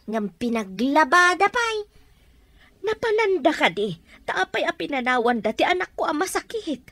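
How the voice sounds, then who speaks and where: Very high-pitched, fretful Ilocano village woman. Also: a bit bright, dry recording, full character voice. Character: concerned, outspoken motherly village woman. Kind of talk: Ilocano radio drama acting.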